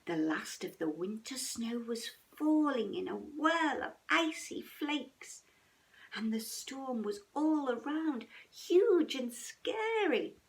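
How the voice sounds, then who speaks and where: A woman reading a children's bedtime story expressively, dramatic and at times hushed. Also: sits high, big pitch swings, slow, gravelly, distant mic, poor audio.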